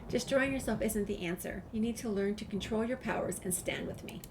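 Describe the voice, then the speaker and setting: A woman's voice: medium pitch, fairly quick. A woman playing through a video game and reading the player's dialogue aloud. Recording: clear background noise, game ambience.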